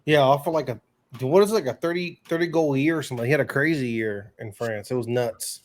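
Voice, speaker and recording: A man's voice: mid-range voice, fast talker. A man co-hosting a casual soccer podcast, giving emphatic, slangy opinions in conversation. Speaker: podcast co-host and soccer pundit. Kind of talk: casual sports discussion.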